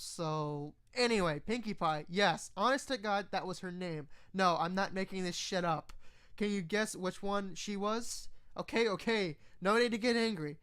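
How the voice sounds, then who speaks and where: A woman's voice: fairly low pitch, smooth, lightly acted. A woman voicing a sarcastic, crude male courier who tells his tale in a casual, wry way. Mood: puzzled. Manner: casual, wry, swearing narrator.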